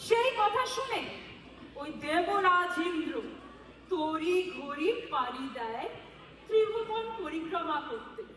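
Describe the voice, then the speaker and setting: Wronged sage's wife onstage, slow dramatic delivery, bitter n proud, big echoey hall w crowd. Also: female voice, very high voice, expressive, fairly bright tone, heavily acted. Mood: storytelling, aggrieved. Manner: dramatic, deliberate, declamatory with bitter irony. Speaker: stage actress. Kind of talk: theatrical stage monologue. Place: stage before a live audience. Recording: echoey hall, distant mic, audible crowd.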